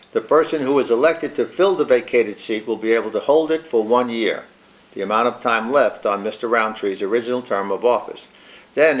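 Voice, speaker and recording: A man hosting a local interview. He reads a measured introduction and asks questions with some fillers. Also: mid-range voice, rough recording. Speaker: interviewer and host.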